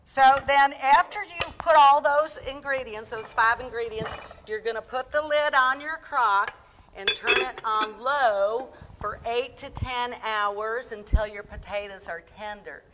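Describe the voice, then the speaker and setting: A woman's voice: on the high side, a little slow, background noise, close to an everyday voice. A woman playing a folksy, chatty Midwestern housewife who hosts a comedic live cooking show, addressing folks directly. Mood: folksy, instructive.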